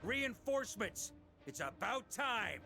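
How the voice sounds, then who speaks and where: An actress playing a spirited young knight commander in a video game, bold, energetic and rallying. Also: female voice, a little high, slow, gravelly, loud music behind the voice, full character voice.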